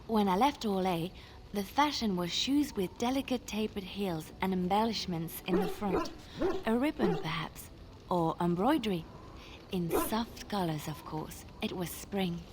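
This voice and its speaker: A woman playing a girlish, wistful young companion in a fantasy video game, with an expressive delivery. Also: medium pitch, unhurried, background noise, close to an everyday voice. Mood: dreamy, enthusiastic. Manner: dreamy, expressive.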